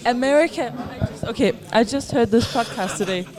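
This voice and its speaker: A man with an extremely high voice and a Danish accent talks in casual chat. He rambles haltingly, insisting on getting his point across. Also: a crowd in the background. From Denmark.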